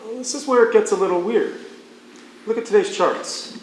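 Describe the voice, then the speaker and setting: An actor playing a matter-of-fact, wryly sympathetic hospital doctor in naturalistic stage dialogue. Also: male voice, high-pitched, moderate pace, close to an everyday voice. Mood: intrigued. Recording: echoey distant stage recording, some noise.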